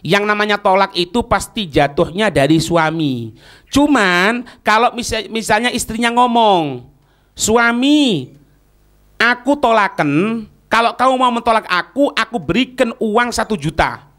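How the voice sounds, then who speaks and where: A man's voice: high voice, expressive, unhurried, fairly bright tone. A man with an Indonesian accent giving an Islamic lesson, reading a text and explaining it didactically with repeated phrases and checks on understanding. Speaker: Islamic teacher.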